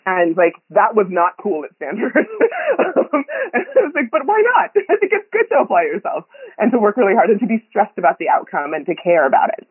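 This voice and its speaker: A woman's voice: medium pitch, wildly swooping pitch, a little fast. A woman from Kenya, a podcast guest, talking reflectively with many ums and frequent laughs. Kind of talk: conversational interview. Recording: tinny phone line, dry.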